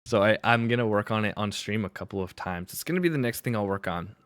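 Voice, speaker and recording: A man streaming live as a music producer, chatting casually and thinking aloud in halting bits with fillers while he works on a mix. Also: lowish voice, animated, a bit bright, smooth, dry recording, studio quality.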